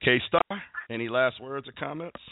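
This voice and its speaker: A man's voice: mid-range voice, a little slow, gravelly, no echo. A man hosting a casual sports chat, rambling with plenty of fillers. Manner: rambling, filler-heavy, conversational. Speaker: podcast host. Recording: tinny phone-like line.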